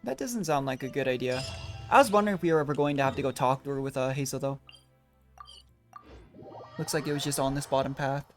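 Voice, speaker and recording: A game streamer with a mid-pitched voice talks casually through a playthrough. The delivery is musing and reactive, thinking aloud over faint game music. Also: fast talker, pauses often.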